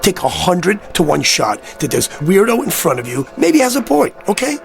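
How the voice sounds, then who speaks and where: A man's voice: sits high, huge pitch swings, normal speed, vocal fry, faint music behind, heavily acted. An actor playing an agitated, desperate American man in a radio drama, pleading insistently in streetwise talk. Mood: agitated, mocking. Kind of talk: vintage radio drama acting.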